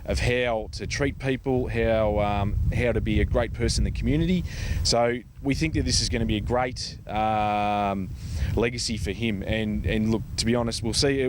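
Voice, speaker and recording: A man with an Australian accent answering interview questions in a halting, conversational way, often repeating words. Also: medium pitch, noisy background. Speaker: charity foundation representative being interviewed.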